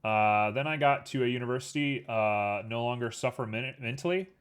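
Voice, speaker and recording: A man chatting casually with his stream audience while he works at a computer. He talks in a relaxed, rambling way full of fillers and sounds a little sniffly. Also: mid-range voice, moderate pace, clear, resonant tone. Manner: easygoing, rambling, filler-heavy. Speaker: livestreamer. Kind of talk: casual chat while working on a 3D model.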